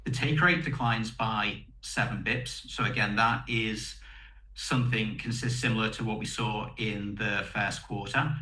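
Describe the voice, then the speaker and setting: An echoey conference call recording carries a man's fairly low, bright voice. He speaks as a company executive presenting results. His delivery is methodical and explanatory, setting out one point after another with a firm, forceful tone. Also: steady tone, unhurried, slight background noise.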